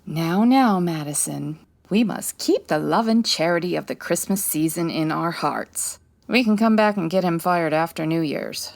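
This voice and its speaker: A woman playing a wry, quick-witted 1940s private detective in a comedy audio drama, with an arch, teasing manner. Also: lowish voice, animated, creaky. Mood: wry, brisk. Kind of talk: comedy audio drama acting, old-time radio detective.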